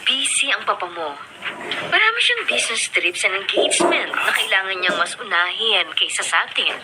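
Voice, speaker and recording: Doting, burdened mother, Filipino actress, lively intonation, radio drama with heavy background noise. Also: medium pitch, moderate pace, lightly acted. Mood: soothing. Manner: expressive, mixes English into Tagalog. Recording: very noisy radio drama recording, clicks.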